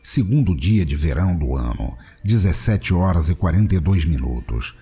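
A man's voice: low voice, animated, moderate pace, warm tone, breathy edge. A man reading scripted narration for an audio drama.

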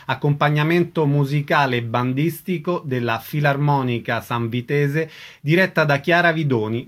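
A man presenting a radio programme, reading a scripted announcement. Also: fairly high pitch.

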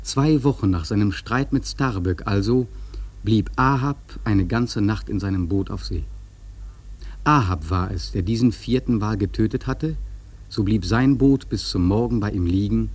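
A man playing a sailor who narrates a whaling tale in a radio drama, husky, measured and grave. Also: lowish voice, a little mellow. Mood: grave, reflective. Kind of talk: literary radio play narration.

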